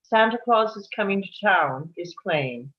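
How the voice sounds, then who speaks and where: A woman narrates scene directions from a script. Her voice sits at a middle pitch, and the recording is slightly dull and lo-fi. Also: expressive, a little slow. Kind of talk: scripted narration at a table read.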